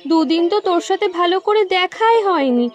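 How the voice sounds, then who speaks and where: A woman's voice: very high-pitched, lively intonation, a little fast, muffled recording. A woman playing a kindly, generous village auntie in a cartoon, affectionate and reassuring. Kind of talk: cartoon dialogue. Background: background music.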